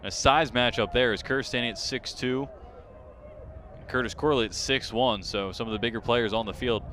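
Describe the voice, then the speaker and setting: A man calling live sports play-by-play as a broadcast commentator, lifting his excitement when the action peaks. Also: medium pitch, moderate pace, slight background noise. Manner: excitement rises sharply on big plays.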